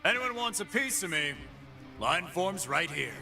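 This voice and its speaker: An actor voicing a cocky, brawling male fight-pit boss in game voice lines, full of gruff, boastful trash talk, with game music behind. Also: sits high, big pitch swings, unhurried, exaggerated voice.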